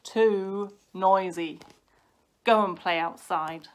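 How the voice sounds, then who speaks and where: A grumpy father wolf from a storybook, put on by a woman. He growls out loud, stern orders at a slow, weighty pace. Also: lowish voice, little pitch movement. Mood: gruff, cross. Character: grumpy, stern adult male wolf dad. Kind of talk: storybook character voice.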